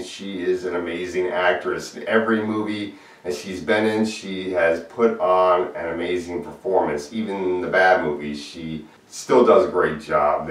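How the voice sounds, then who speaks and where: A man's voice: low voice, fairly bright tone, far from the mic. A man giving an earnest, plain-spoken film review in a solo video. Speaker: movie reviewer. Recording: echoey room, voice off-mic.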